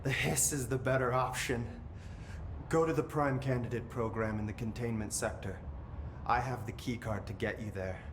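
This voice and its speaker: An actor playing an eerily calm, detached man who speaks slowly and flatly. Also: medium pitch, close to an everyday voice. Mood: urging. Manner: slow, flat, eerily calm. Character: eerie, detached man, brother held in captivity, possessed. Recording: echoey room with background noise.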